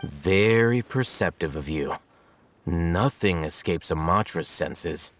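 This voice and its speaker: An actor playing a composed, sardonic scholar in a video game, speaking in a measured, articulate, wry way. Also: male voice, low voice, animated, a bit bright, slight background noise, exaggerated voice. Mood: cool, probing. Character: composed, sardonic male scholar, coolly analytical. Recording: studio voice over background music.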